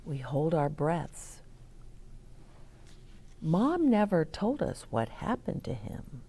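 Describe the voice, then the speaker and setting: A woman reading picture books aloud to children as a storytime host, in a deliberate, explaining way. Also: sits low, sing-song, creaky, warm tone, a little hiss or hum. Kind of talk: expressive read-aloud for children with asides to listeners.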